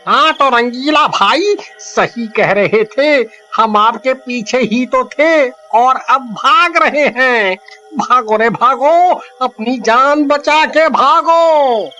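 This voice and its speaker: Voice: high voice, extremely slow, pushed and bright, faint music behind, exaggerated voice. A performer voicing a timid, cowardly young male sidekick in a horror storybook tale, anxious and whiny. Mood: scared.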